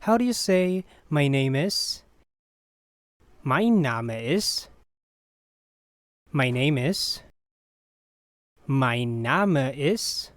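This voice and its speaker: A teacher's voice slowly repeating short phrases in a language drill, each one said clearly and evenly. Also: mid-range voice, expressive, no room sound, studio quality. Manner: deliberate, evenly paced repetition of short phrases.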